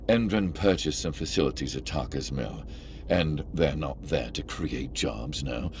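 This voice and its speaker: An actor playing a sly, cornered arms dealer in a video game, smug and menacing, with a drawling, theatrical delivery. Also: male voice, a bass voice, slow pace, a little hiss or hum, full character voice. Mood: ominous, smug. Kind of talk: video game cutscene acting. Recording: music behind the voice.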